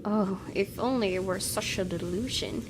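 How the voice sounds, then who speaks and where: A woman's voice: mid-range voice, normal speed, background noise. A woman gaming YouTuber doing a let's play, reading story text aloud expressively with casual asides. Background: game music.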